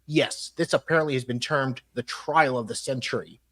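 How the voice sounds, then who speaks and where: A man's voice: medium pitch, steady tone, moderate pace, fairly bright tone. A man on a live panel, giving dramatic readings of emails.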